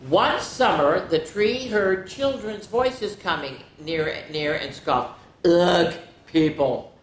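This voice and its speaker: A woman's voice: mid-range voice, lively intonation. A woman hosting a storytime, reading picture books aloud for young children and adding chatty asides. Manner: slow, deliberate delivery with chatty asides to listeners. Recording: echoey room with faint background noise.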